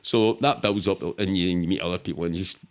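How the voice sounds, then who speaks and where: A man's voice: deep voice, fairly even intonation, quick pace. A middle-aged man with a Glaswegian accent, telling rambling, sweary, matter-of-fact anecdotes in casual interview chat. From Scotland. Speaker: podcast guest.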